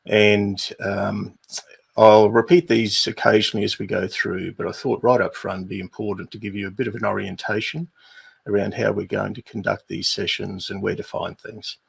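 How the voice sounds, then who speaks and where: A middle-aged Australian man with an Australian accent, hosting a livestream and walking viewers through housekeeping in an unhurried way with many fillers. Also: on the low side, level tone. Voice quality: gravelly, husky. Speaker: livestream host, business advisor.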